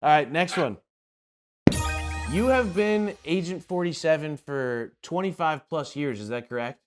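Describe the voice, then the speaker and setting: A man's voice: fairly high pitch, unhurried, loud music behind the voice. A man hosting a casual interview, talking to his guest in a chatty style. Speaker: interview host.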